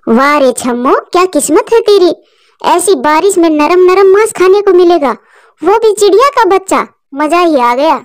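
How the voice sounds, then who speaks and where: A woman acts out a predatory mother eagle in a children's cartoon. She is greedy and bossy, with a high, menacing voice. The recording is very dry. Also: lively intonation, moderate pace, no room sound. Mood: gloating.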